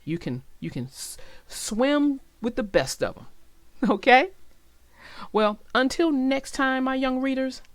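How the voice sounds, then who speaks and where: A woman narrating for young children in an expressive, reassuring way, as if reading aloud and talking directly to them. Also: high-pitched, slow pace, no room sound. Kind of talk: read-aloud storytelling and advice to young listeners.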